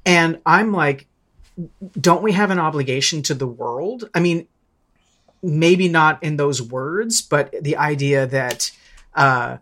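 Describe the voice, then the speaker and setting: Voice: mid-range voice, slow pace, fairly bright tone. A person in a casual, reflective podcast discussion, thinking aloud hesitantly and correcting themselves as they go.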